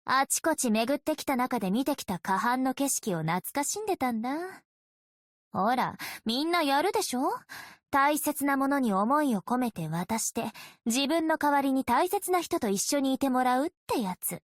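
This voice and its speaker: A woman's voice: sits high, animated, normal speed, no echo, studio quality. A woman voicing a coy, playful young female vampire in an anime-style game, with casually threatening undertones. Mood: playful, nonchalant. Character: teasing, sinister young female vampire, sweetly menacing. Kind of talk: game character voice lines in Japanese anime acting.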